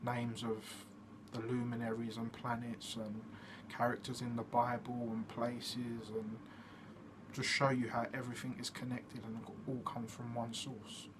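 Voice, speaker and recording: A man hosting his own channel, giving a casual explanatory monologue in a steady way, with frequent fillers. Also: medium pitch, a little slow. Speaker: channel host. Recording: noticeable background noise.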